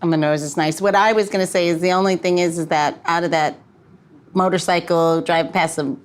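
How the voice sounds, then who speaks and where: A woman's voice: lowish voice, fairly even intonation, a little fast. A woman hosting a podcast in casual chat, with a playful, joking, conversational manner. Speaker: podcast host.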